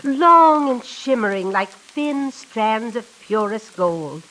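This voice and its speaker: An actress playing a wistful, secretive old landlady in a 1940s radio play, speaking softly and in a hushed way, on an old radio recording. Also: a little high, expressive, slow, rough recording. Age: older.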